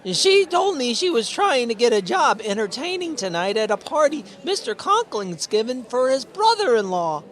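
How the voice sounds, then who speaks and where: A woman's voice: on the high side, very animated, normal speed, few pauses. A woman playing an eager, gossipy teenage schoolboy in a radio comedy, with hesitant starts and exclamations. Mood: excited, astonished. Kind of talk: old-time radio comedy acting.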